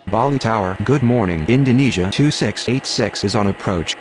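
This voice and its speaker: A man's voice: lowish voice, normal speed, slight background noise, close to an everyday voice, low-quality recording. A man voicing a businesslike airline pilot and air controller in a game, speaking clipped, matter-of-fact radio phraseology.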